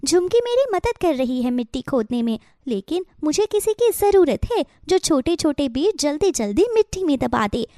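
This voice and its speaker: A woman voicing an eager, determined little female bird in an earnest, expressive way for a children's cartoon story. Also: high-pitched, normal speed, few pauses, dry recording, clean studio sound. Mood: eager, happy.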